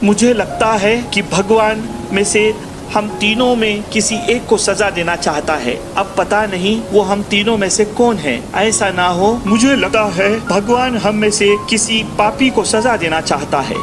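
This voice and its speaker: A man's voice: high-pitched, unhurried, over music. A man playing a frightened, pious villager in a children's cartoon story, with a worried, earnest way of talking. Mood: earnest worry.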